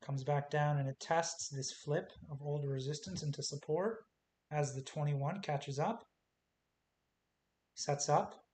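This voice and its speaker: A man's voice: fairly high pitch, slow pace, darkish tone. Man losing his voice over charts. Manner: offhand, clipped chart calls with fillers. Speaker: stock trader walking through charts. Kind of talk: casual screen-share chart commentary. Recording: faint hiss.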